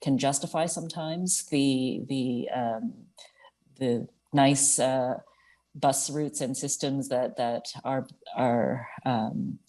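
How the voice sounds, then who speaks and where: Very low-voiced, mellow woman. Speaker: party deputy transportation critic. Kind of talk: roundtable discussion. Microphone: video call.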